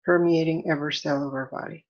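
A woman leading a guided meditation as an instructor, soothing and deliberate, in measured phrases with long pauses. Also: deep voice, fairly even intonation, muffled recording.

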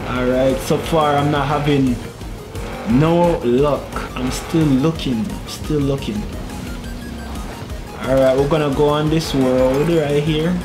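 A man's voice: on the high side, steady tone, slow pace, music in the background. A young man giving casual gameplay commentary on a headset in a small room at home, chatty, muttering to himself and laughing as he drives. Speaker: gaming YouTuber. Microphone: gaming headset.

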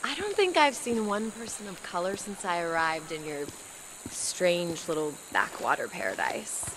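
A woman's voice: lowish voice, big pitch swings, normal speed, a bit bright, a little hiss or hum, low-quality recording. An American actress playing a playful, teasing young woman scientist in a video game, precise when she explains. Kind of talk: naturalistic cinematic game acting.

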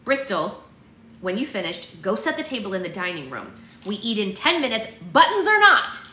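A woman's voice: fairly low pitch, big pitch swings, normal speed, fairly bright tone. A woman voicing an exasperated, theatrical mother, scolding sternly and emphatically in a story read aloud. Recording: echoey room, faint background noise.

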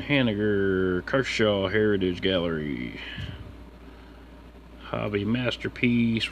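A man streaming about his card hobby, talking in a casual, slangy, laid-back mutter as he names items one by one. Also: on the low side. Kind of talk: casual chat while sorting through cards. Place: indoors at a desk with a computer. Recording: steady background room noise.

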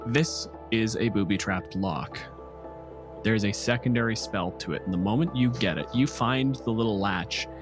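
Male game master, lowish voice, informal improvised fantasy narration, clear music bed. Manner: informal, descriptive, sweary.

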